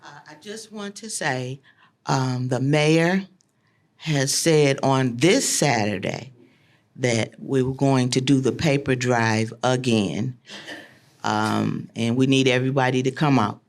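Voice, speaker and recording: A man's voice: medium pitch, slow. A man giving informal, rambling remarks at a city council meeting, full of fillers. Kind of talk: public comment at council meeting.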